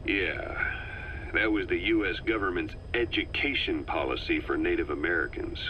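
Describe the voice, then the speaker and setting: An actor playing a middle-aged, skeptical military interrogator who questions in a probing, matter-of-fact way for a video game. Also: male voice, low-pitched, normal speed, lightly acted. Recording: dull, muffled audio with faint noise.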